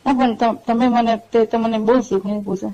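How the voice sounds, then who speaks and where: A woman's voice: fairly high pitch, steady tone, normal speed, warm tone, natural voice. A woman playing an anxious middle-aged married woman pleading with her young lover, hesitant and repetitive, in a staged phone-call drama. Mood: pleading, reassuring. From India. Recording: dull lo-fi phone call recording.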